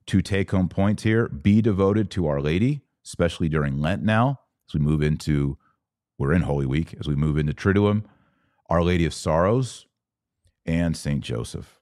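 A man's voice: deep voice, expressive, moderate pace, a little mellow, no room sound, studio quality. A man hosting a live religious commentary podcast, answering comments in an exhortative, emphatic way and repeating key phrases.

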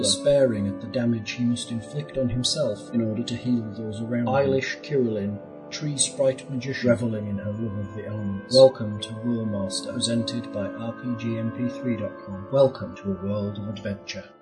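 A man giving a grand, measured scripted introduction to a fantasy game show. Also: mid-range voice, steady tone, rough recording. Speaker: intro narrator. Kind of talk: scripted narration. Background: music.

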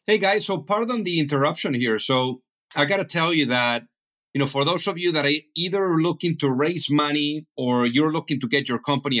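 A man's voice: on the high side, a bit bright. A male host speaking straight to listeners in a conversational ad break. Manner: conversational, addresses listeners directly. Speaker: podcast host. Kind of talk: direct-to-listener ad read.

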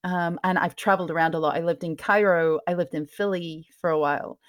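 A woman from Scotland with a mixed, mostly English accent, speaking softly in a lowered tone as a guest in a casual interview, laughing often. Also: steady tone, fairly quick. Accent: mixed, mostly English with some Scottish. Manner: soft lowered tone, laughs often, many ums. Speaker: podcast host, guest.

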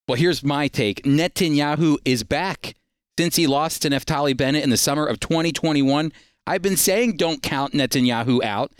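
Smooth-voiced male radio host, dry studio sound. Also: a little high, dry recording. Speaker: radio show host and Bible teacher. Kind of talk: scripted radio introduction and interviewing.

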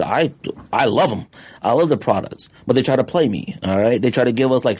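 A man hosting a podcast in casual chat, rambling and animated, his voice jumping high when he gets excited. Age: adult, has a wife. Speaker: podcast host.

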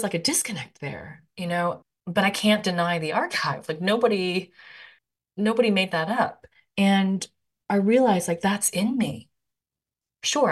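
A woman poet answering interview questions, thinking aloud with lots of "like" fillers and an animated, conversational manner. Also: low voice.

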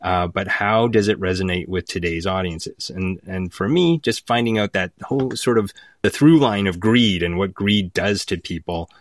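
A Canadian man with a deep voice, recorded dry with no room around it. He talks like a writer in an interview, explaining things in a conversational, storytelling way and checking in with the listener as he goes. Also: moderate pace, no echo. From Alberta, Canada. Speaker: guest writer of audio drama.

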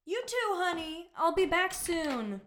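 A woman acting as a caring, fussing mother in a family audio drama. Her voice is very high, with lively swings in pitch. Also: unhurried, slight background noise, heavily acted. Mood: motherly concern. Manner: sweet and fussing with children. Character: caring, overprotective mother working for police.

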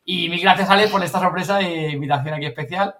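Male podcast host, accent from Spain, fairly high voice, informal and chatty delivery. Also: fast, a bit bright. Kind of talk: casual podcast chat.

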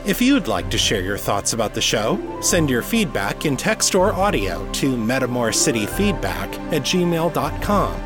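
A man's voice: mid-range voice, very animated, unhurried, few pauses, over music. A man hosting a solo podcast, reading a scripted update in an earnest, articulate way, close on the microphone. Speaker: podcast host and fiction author.

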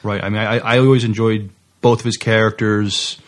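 A man with a slightly low voice talks casually as a podcast co-host. His delivery is halting and hesitant, feeling its way through each sentence. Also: little pitch movement.

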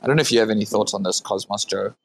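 A man's voice: lowish voice, steady tone, racing. South African man hosting, lots of ums. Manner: filler-heavy, restarts often. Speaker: host. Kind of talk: casual Twitter space chat.

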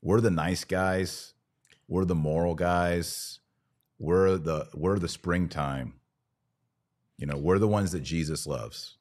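Very deep-voiced man, podcast commentary. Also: takes it slowly, darkish tone. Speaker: podcast host. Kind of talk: conversational religious commentary.

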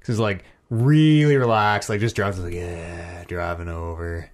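A slightly hoarse man co-hosting a podcast, rambling and swearing casually while acting out little quotes. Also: sits low, fairly even intonation, a little slow. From Canada. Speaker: podcast co-host. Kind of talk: casual chat.

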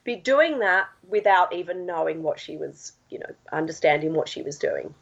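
A woman from England with a husky voice, chatting as a guest and explaining at length with many fillers. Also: on the low side, lively intonation, moderate pace. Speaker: guest, health science lecturer. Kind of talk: phone-in podcast interview. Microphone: phone line. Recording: dull lo-fi phone line.

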